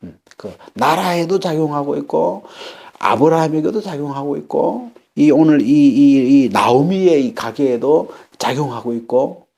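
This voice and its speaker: Animated Korean pastor in his fifties. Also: vocal fry. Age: 50s. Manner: animated preaching, pitch swinging up for emphasis and dropping low; halting, with fillers and repeated words. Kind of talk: Sunday sermon.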